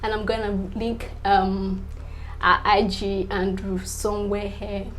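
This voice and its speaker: A woman's voice: mid-range voice, little pitch movement, slow, slight background noise, rough recording. A young Nigerian woman whose first language is Yoruba, chatting casually to camera as a vlogger, full of fillers, repeating "definitely" and laughing between answers.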